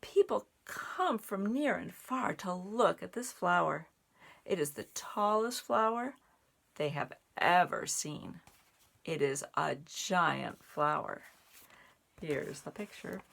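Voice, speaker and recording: A woman reading a children's picture book aloud in an expressive, deliberate way for young listeners, with little asides. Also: fairly low pitch, creaky. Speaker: storybook reader and sign language teacher.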